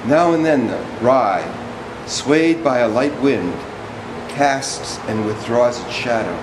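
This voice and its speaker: A man playing a servile, wordy orator in a stage drama, reciting poetic text in a halting, deliberate, declamatory way. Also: medium pitch, lively intonation, noisy background. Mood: solemn, descriptive. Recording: echoey room with music behind.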